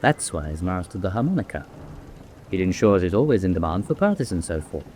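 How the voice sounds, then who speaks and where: A man's voice: low-pitched, very animated, a little fast, darkish tone, soft background music. An actor playing a self-assured prison-camp medical officer in a radio drama, explaining his opinions. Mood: analytical. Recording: faint background noise.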